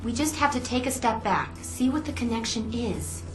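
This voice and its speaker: A woman's voice: on the high side, expressive, normal speed, lightly acted. A woman playing a spy's concerned, inquisitive ally in a video game, talking in an earnest, questioning way. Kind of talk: video game cutscene dialogue. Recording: roomy sound with music behind.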